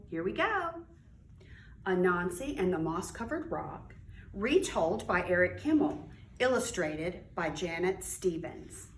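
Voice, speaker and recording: A woman reading a children's story aloud in an expressive way, with dramatic pauses and drawn-out key words. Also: fairly low pitch. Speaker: library storyteller reading a picture book to children. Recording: roomy, distant mic with faint noise.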